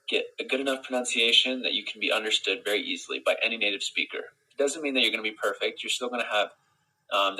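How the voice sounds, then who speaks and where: A young man from Utah with a General American accent, teaching a live English pronunciation lesson in a relaxed, friendly way and greeting viewers from the chat. He sounds close to a phone camera in a small indoor room. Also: medium pitch, breathy edge, rough recording. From Utah, United States. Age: young adult. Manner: relaxed, friendly, explains step by step with fillers.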